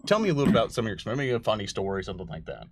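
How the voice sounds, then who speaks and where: A man hosting a casual interview, chatting in an excitable, rambling way. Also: on the low side, rapid-fire, no room sound, studio quality. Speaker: interviewer, show host.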